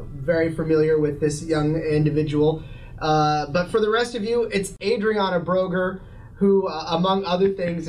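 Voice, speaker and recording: A man's voice: high voice, poor audio. A middle-aged man co-hosting a local podcast and interviewing guests, talking casually with plenty of fillers. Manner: relaxed, friendly, filler-heavy. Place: small podcast studio.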